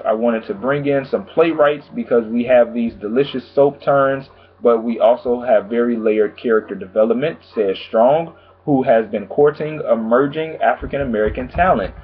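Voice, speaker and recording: A man vlogging about TV news in a casual, chatty way, calm and low-key, with lots of filler phrases. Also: breathy edge. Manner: chatty, frequent "pretty much" and "you know what I'm saying". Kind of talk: casual chat to camera. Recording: tinny phone-like sound.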